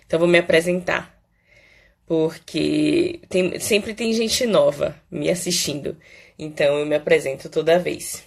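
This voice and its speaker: A hoarse woman talks into her phone on a live stream, her voice gravelly and stuffed up from a cold. She pitches low for a woman and chats freely with viewers, filling every pause with little tags. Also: darkish tone. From Salvador, Bahia, Brazil. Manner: chatty, fills pauses with "né" and "é". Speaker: psychologist hosting a live Q&A.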